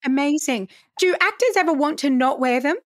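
A woman hosting a podcast, asking curious, blunt questions and nudging the talk along with quick "mm-hmm"s. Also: high voice, no room sound, studio quality. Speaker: podcast host. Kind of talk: casual interview chat.

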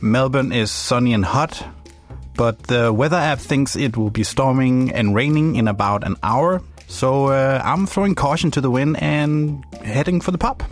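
A young man from Denmark with a Danish accent, hosting a podcast in reflective, gently playful scripted narration. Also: medium pitch, normal speed, soft background music. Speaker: podcast host.

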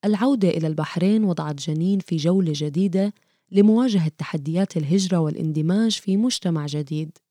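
A woman reading scripted documentary narration in a measured, formal way. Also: fairly low pitch, dark tone.

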